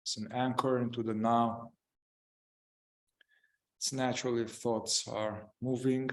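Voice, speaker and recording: A man guiding a meditation in gentle, unhurried short phrases, improvising as he goes and leaving long pauses. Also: medium pitch, level tone. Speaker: meditation guide. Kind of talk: guided meditation, improvised spoken instruction.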